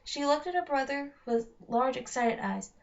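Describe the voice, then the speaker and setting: High-voiced woman reading a story aloud. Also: animated, moderate pace, gravelly. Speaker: narrator reading a novel aloud. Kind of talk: read-aloud children's story narration. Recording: dull lo-fi home recording.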